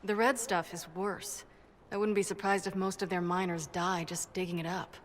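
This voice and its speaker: A woman's voice: fairly low pitch, steady tone, moderate pace, close to an everyday voice. A woman playing a brash, defensive female dwarf inventor in a video game, blunt and wry. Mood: impatient, matter-of-fact. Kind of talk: video game cutscene acting. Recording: echoey cavern reverb, background noise.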